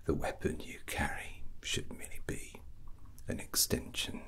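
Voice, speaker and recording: A man playing a drunken, proud and menacing rogue prince in an ASMR roleplay, whispering slowly and deliberately in ornate language with long pauses. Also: fairly bright tone, natural voice. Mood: brooding, boastful. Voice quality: low breathy whisper. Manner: slow, deliberate, pausing, ornate wording.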